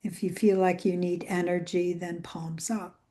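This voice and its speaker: A woman leading a guided meditation in a gentle, soothing way, with soft phrase endings that trail into breath. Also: on the low side, little pitch movement. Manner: gentle, soothing, unhurried with long pauses.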